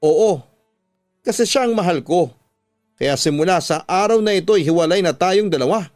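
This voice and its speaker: Unfaithful husband for radio drama, acted by a man: cold, blunt, blaming, lightly high voice over music. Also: lively intonation, moderate pace, darkish tone, no room sound. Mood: firm. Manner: blunt, rejecting. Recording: music bed under the voice.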